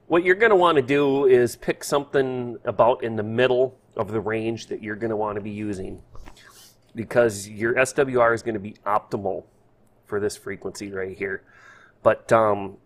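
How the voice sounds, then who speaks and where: A man's voice: lowish voice, normal speed. A man hosting a casual hobby tutorial, thinking aloud in a hesitant, folksy way. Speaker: host, hobbyist instructor. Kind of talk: casual spoken tutorial over screen.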